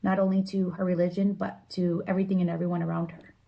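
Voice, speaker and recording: A woman giving a casual, explanatory monologue, earnest and opinionated, often halting and leaning on filler words. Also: on the low side, level tone, vocal fry, lo-fi sound, slight background noise.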